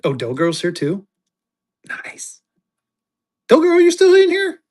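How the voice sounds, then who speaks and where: A man hosting a live-stream auction of paper money, chatty, jokey and excitable. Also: extremely high pitch, slow pace. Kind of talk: casual live-stream selling chat.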